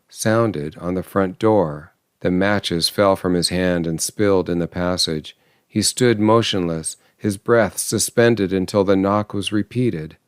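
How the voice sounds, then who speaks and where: Male audiobook narrator, clean resonant low voice, dramatic literary storytelling, recorded close and dry. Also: smooth, no room sound.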